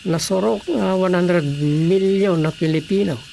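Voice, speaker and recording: A man playing a practical, questioning village colleague in an Ilocano Filipino radio drama, matter-of-fact, with a gravelly voice. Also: sits high, fairly even intonation, a little slow, a little mellow, a little hiss or hum, rough recording. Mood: matter-of-fact, doubtful. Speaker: voice actor.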